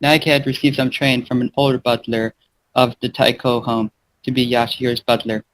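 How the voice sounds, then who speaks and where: A man's voice: mid-range voice, little pitch movement, fairly quick. Man reading a story aloud, noisy recording. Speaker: fan fiction reader and gaming channel host. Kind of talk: amateur read-aloud narration.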